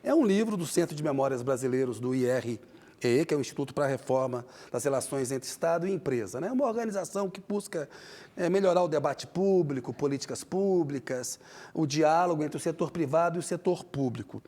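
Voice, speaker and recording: A man's voice: fairly high pitch, animated, fairly quick, dark tone. A man with a Brazilian accent hosting a TV interview, asking his guest friendly, prompting questions. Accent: Brazilian Portuguese. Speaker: TV interview host.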